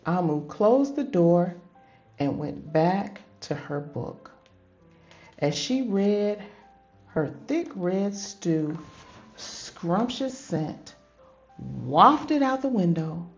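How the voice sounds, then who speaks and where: A woman's voice: on the low side, dull audio. An older woman reading a picture book aloud expressively, in a grandmotherly manner, addressing young children and asking them questions.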